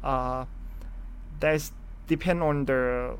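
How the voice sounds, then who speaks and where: Thai man, halting agreeable English. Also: mid-range voice, fairly even intonation, a little hiss or hum. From Thailand. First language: a hill-region language of northern Thailand. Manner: agreeable, halting, repeats "you're right". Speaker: guest, elephant sanctuary guide. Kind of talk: casual interview chat.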